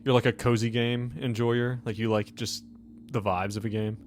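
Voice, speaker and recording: A man's voice: medium pitch, flat delivery, moderate pace, soft background music. A man hosting and running a tabletop game podcast, chatting casually with friends in a teasing, profane way. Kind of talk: casual banter among friends.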